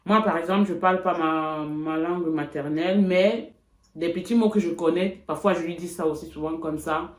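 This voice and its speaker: A woman from Cameroon, chatting reflectively in a casual couple vlog, with frequent pauses. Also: on the low side, fairly even intonation, roomy sound. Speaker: vlogger.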